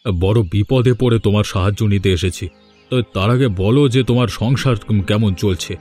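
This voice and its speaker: A man plays a kindly village headman with a lowish voice. He speaks familiarly, as in a cartoon moral tale, with faint music underneath. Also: a little fast, a little mellow, lightly acted. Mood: genial. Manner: genial, explanatory. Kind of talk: moral-story cartoon dubbing.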